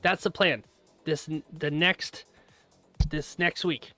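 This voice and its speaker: Chatty male streamer, higher voice. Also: fairly even intonation, takes it slowly, pushed and bright, soft background music. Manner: chatty, laughs often, rambling asides. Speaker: trading livestream host. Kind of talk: casual live-stream chat.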